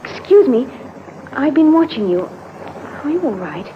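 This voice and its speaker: An actress playing a kindly young village woman in an old radio drama, gentle, sympathetic and insistent. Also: high voice, very animated, normal speed, slight background noise. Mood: concerned, solicitous. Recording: dull vintage radio recording.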